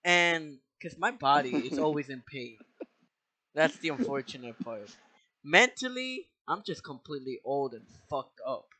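A man's voice: fairly high pitch, moderate pace. A man in his twenties hosting a casual podcast chat, swearing often and laughing between lines. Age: 20s. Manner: profane, animated, laughs often. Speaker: podcast host.

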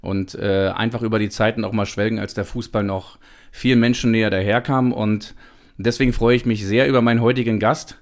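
A man's voice: on the low side, fairly even intonation, fairly quick. A man hosting a podcast, talking casually and at length with his guest, with many small hesitations. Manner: chatty, many fillers and restarts. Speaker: podcast host. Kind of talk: casual chat.

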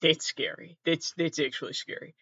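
High-pitched man rambling casually in a roomy space. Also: expressive, moderate pace, vocal fry, bright, forceful tone. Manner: sardonic, sweary asides and muttered self-talk. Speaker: host. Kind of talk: casual rambling reaction commentary, reading aloud from web articles. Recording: roomy, slightly dull lo-fi sound.

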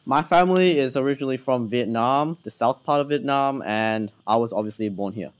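Teen boy school podcast host, mid pitch, casual and earnest, dull lo-fi sound. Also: fairly quick, a little hiss or hum. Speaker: student interviewer. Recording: dull low-fidelity school recording.

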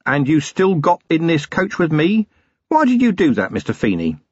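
A man voicing a weary, sardonic older police commander with a dry, gruff, commanding manner in an audiobook story. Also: on the high side, huge pitch swings, normal speed, dry recording, muffled recording, pitched above the performer's own voice, heavily acted. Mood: honeyed, sardonic. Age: middle-aged.

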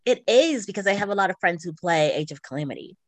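A woman's voice: on the low side, animated, quick pace, dry recording. A middle-aged woman co-hosting a podcast, chatting casually about her hobbies in an informal way. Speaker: podcast co-host. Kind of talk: casual chat about video games.

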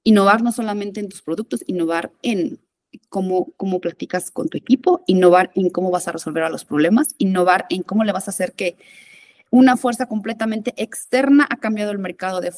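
A woman talks as an interview guest, working her thoughts out aloud with frequent fillers and self-corrections. She slips English business terms into her sentences. Her pitch sits in a middle range, and the sound is dry and close. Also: fairly quick, dry recording. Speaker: interview guest, innovation consultant. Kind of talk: conversational podcast interview.

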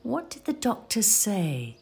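Actress as a lilting, patient elderly wife. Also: female voice, medium pitch, huge pitch swings, slow, vocal fry, full character voice. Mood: reassuring. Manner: conversational, gently explaining, reassuring. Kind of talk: audio play acting with reflective narration.

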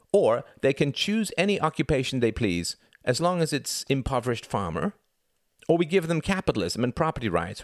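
A man's voice: mid-range voice, dry recording, clean studio sound, pitched above the performer's own voice, close to an everyday voice. A man voicing an argumentative young son, a haughty intellectual who lectures emphatically and rhetorically in a dramatised story reading. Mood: sardonic.

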